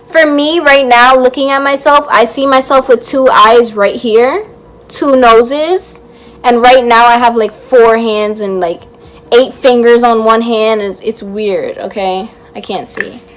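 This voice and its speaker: A young woman vlogger chatting to camera and telling stories, her voice hoarse and cracking as she loses it. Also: a little high, a little slow, rough recording. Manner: chatty storytelling, laughs at own jokes. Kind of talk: casual chat to camera.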